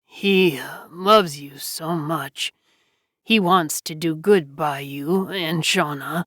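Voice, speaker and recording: Woman voicing a weak, low-voiced dying man. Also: fairly bright tone, no echo, studio quality, full character voice. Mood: weak, earnest. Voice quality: weak, strained. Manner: halting, tender. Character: dying man, gentle, weak, loving, tenderly consoling. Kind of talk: dramatic audiobook character acting.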